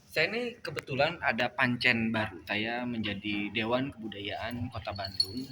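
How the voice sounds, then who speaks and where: A man's voice: medium pitch, normal speed, slight background noise. A man from a city cultural council joining a panel discussion, eager and often agreeing. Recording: loud crowd behind.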